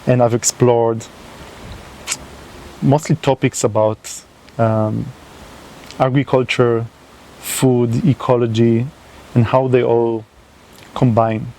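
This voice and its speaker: A man's voice: mid-range voice, flat delivery, takes it slowly, pauses often, dark tone, a little hiss or hum, poor audio. A man hosting his own channel, talking unscripted to the camera in a non-native language, hesitant with many fillers and restarts. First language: not English. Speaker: channel host. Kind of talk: unscripted solo talk to camera.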